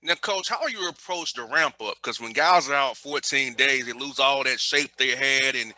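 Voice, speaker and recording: A man's voice: medium pitch, normal speed, bright, forceful tone, no echo, low-quality recording. A man in his thirties hosting a casual interview, enthusiastic and full of praise, calling his guest "Coach" and "man" often. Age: 30s. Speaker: show host.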